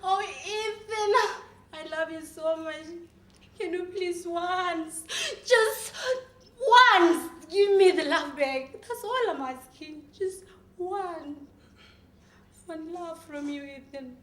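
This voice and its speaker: Woman acting a shrill, volatile, heartbroken young woman. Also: sing-song, fairly bright tone, heavily acted. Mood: pleading. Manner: melodramatic, tearful outbursts and drawn-out pleading. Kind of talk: dramatic acting monologue. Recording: echoey room.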